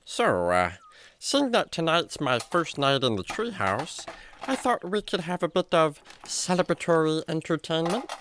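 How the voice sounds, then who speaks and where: A man playing a naive, chatty, polite animal friend in a children's radio drama, with a halting, wordy way of talking. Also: fairly high pitch, big pitch swings, a bit bright, a little hiss or hum. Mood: hesitant, polite. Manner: hesitant, wordy, comically halting.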